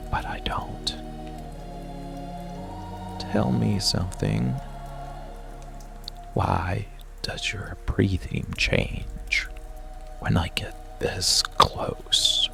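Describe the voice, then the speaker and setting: A man voicing a dark, seductive, protective monster lover in an ASMR roleplay, speaking in a breathy, gravelly murmur with deliberate pauses. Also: on the low side, exaggerated voice. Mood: commanding, reassuring. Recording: rain and music behind close voice. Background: soft music and rainfall.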